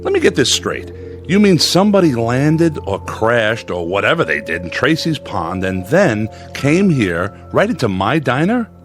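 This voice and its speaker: A folksy diner owner played by a male actor in a radio suspense play, curious and inquisitive. His voice swings up and down in lively fashion, with music underneath. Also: medium pitch, normal speed, lightly acted. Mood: puzzled, skeptical. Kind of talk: old-time radio drama acting.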